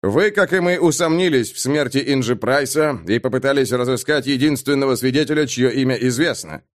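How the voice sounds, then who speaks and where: A man's voice: mid-range voice, fairly quick, lightly acted. A man voicing a stern, authoritative guard captain with a dry, curt manner in an audiobook story. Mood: matter-of-fact.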